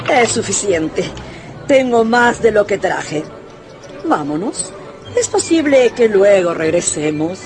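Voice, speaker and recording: An actress playing a sharp-tongued, rich old Russian grandmother in a radio drama, haughty, mocking and commanding. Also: mid-range voice, big pitch swings, slow, pauses often, over music. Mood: imperious, eager.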